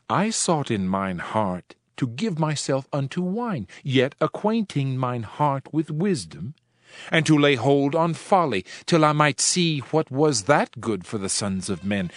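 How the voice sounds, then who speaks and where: An actor playing a world-weary preacher king in a dramatized scripture reading, solemn, measured and oratorical. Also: mid-range voice. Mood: reflective, rueful. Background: background music.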